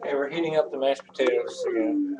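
A man hosting a casual home cooking show with his kids, filmed in a kitchen on the camera microphone, chatty and giving quick instructions. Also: a little high. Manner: relaxed, chatty, gives quick instructions to children. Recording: noisy home video.

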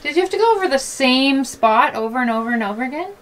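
A woman's voice: sits high, animated, fast, fairly bright tone. A woman chatting casually and asking questions from behind the camera aboard a sailboat. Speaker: sailing vlogger behind the camera. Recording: noisy on-location camera audio.

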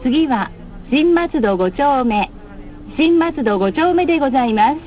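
A woman's voice: high voice, expressive, a little slow, faint music behind. A woman bus announcer reading scripted stop announcements in a formal, polite, carefully enunciated way over cabin speakers. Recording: muffled, with clear vehicle noise. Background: vehicle noise.

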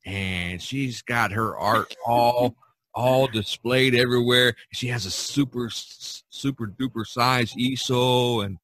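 A man's voice: mid-range voice, takes it slowly, a bit bright, slight background noise. A man hosting a casual podcast chat over a video call, rambling with many fillers and restarts. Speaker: podcast host.

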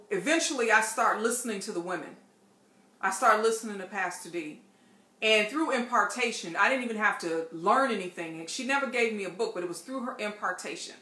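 A middle-aged woman with a bright, forward voice hosts a faith book talk from a living room. She talks playfully and with emphasis, leaning on repetition. The room adds a clear echo. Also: mid-range voice. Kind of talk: casual church book talk. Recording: roomy echo.

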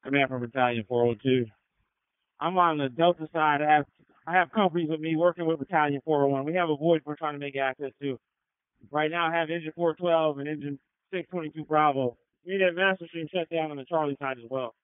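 Quick-talking man on a tinny radio. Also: a little high, clear, resonant tone, no room sound. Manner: brisk, procedural. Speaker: fire battalion officer. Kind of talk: emergency radio report. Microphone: two-way radio. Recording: phone-like fire radio channel.

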